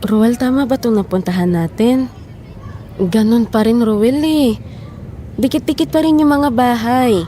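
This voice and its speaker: Babaeng gumaganap na balisang asawa, malambot ang timbre. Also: female voice, on the high side, measured pace, pauses often, dark tone, slight background noise, lightly acted. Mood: doubtful, upset. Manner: questioning, plaintive. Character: anxious, doubting wife and mother. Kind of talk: audio drama acting.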